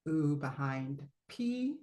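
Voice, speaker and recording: A relaxed woman with a low voice coaches singers in a live online class. She chats easily, laughs often and breaks off to demonstrate sounds. The recording has a roomy echo around her. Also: slow pace. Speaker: voice teacher. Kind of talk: live online singing lesson.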